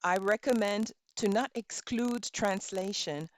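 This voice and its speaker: A middle-aged woman whose first language is Norwegian teaches a relaxed online language class. She thinks aloud, spells words letter by letter and fills pauses with ums. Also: medium pitch, no room sound. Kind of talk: casual online lesson, thinking aloud while browsing.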